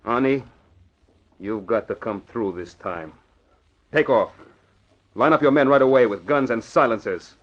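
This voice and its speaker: An actor playing a cold, scheming crime boss in an old radio drama, curt and menacing, with controlled anger. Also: male voice, medium pitch, measured pace, long pauses, lightly acted. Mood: commanding. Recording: dull vintage radio recording.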